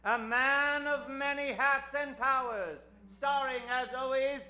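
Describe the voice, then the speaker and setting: A man's voice: extremely high pitch, steady tone, slow, bright, forceful tone, heavily acted. A man playing a bombastic, theatrical old-time radio announcer on a live superhero comedy serial. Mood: grandiose. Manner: theatrical, emphatic, grandiose. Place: theater stage before a studio audience. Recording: roomy live stage with music.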